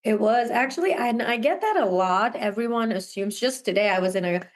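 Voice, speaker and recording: A woman's voice: on the high side, expressive, brisk. A young woman from Sri Lanka, a guest talking over a video call, telling her story earnestly and laughing often. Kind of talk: casual interview conversation.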